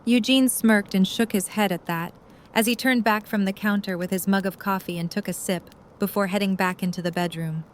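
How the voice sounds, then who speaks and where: A woman's voice: mid-range voice, steady tone, brisk, a little hiss or hum. A woman narrating a scripted story in an audio drama.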